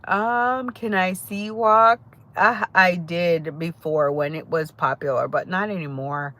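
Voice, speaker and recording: A woman's voice: lowish voice, takes it slowly, a bit bright. A woman from Jamaica with a Jamaican accent, chatting casually with viewers on a live stream, greeting them by name and laughing often. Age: adult. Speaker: livestream host.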